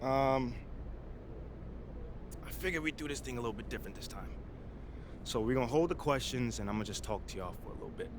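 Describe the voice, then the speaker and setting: A man's voice: mid-range voice, animated, a little fast, pauses often, noisy background, lightly acted. A man playing a driven, proud young American pro basketball player in a game cutscene, speaking earnestly and colloquially with emphasis. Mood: measured, wounded. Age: young adult. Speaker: video game actor playing the lead character. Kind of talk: naturalistic cutscene acting, impassioned speech.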